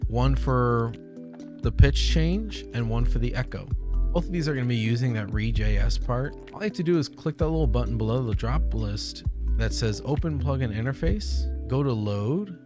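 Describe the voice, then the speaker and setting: A young man talks through a tutorial in an informal, reassuring way. His voice sits at a medium pitch, recorded close on a studio mic, with music clearly playing behind him. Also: expressive, normal speed. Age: young adult. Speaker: streamer giving a software tutorial. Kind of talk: casual step-by-step tutorial. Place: small room at home, indoors. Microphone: close studio mic on a boom arm. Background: background music.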